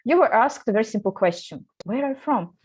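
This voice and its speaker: A woman's voice: a little high, expressive, a little fast, slightly rough. Hesitant woman teaching. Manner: hesitant, many fillers and restarts. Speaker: teacher trainer. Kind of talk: online seminar for teachers.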